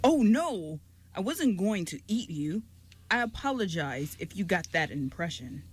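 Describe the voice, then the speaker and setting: A kindly male giant as an actor plays him, polite and caring, with deliberate, formal wording and a medium pitch. It is radio drama, recorded with faint noise behind. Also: big pitch swings. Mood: apologetic, reassuring. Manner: careful, formal, deliberate phrasing. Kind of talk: amateur radio play acting.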